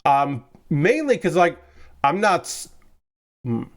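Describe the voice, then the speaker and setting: A man's voice: a little high, very animated, takes it slowly. Chatty man with a slightly raised voice. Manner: rambling, self-correcting, chatty with viewers. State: slightly congested, recovering from a cold. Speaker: streamer building model kits. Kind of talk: casual livestream chat. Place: his room with window open.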